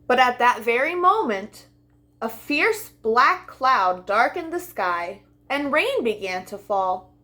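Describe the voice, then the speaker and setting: High-pitched, animated woman reading a storybook. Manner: animated, deliberate delivery for young listeners. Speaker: storytime host reading a children's book aloud. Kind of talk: expressive children's storybook narration.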